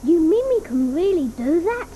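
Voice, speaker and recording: A woman's voice: extremely high pitch, very animated, moderate pace, dark tone, a little hiss or hum. An actress voicing an eager, polite young boy in an audio drama, talking earnestly. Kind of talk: 1980s audio drama acting.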